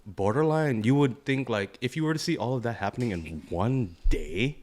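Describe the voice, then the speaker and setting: A man's voice: medium pitch, animated, normal speed, a little mellow. A man co-hosting a podcast, explaining things in a casual, slangy, rambling way. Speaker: podcast co-host. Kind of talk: casual chat.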